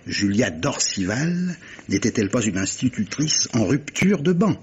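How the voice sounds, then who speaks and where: An actor playing an urbane, clever gentleman captain and amateur detective in a 1950s-style French radio mystery, courtly and theatrical, savouring his words. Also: medium pitch, sing-song, measured pace, breathy edge, heavily acted. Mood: animated, teasing. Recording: muffled vintage radio recording, faint noise.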